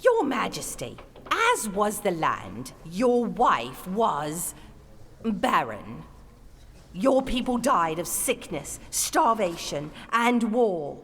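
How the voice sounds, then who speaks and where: An actress playing a solemn, resolute veiled wise woman and queen in an audio drama, speaking in measured, formal oratory. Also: medium pitch, huge pitch swings, fairly bright tone, slight background noise, full character voice. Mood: solemn, reverent. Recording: long reverberant hall, music underneath.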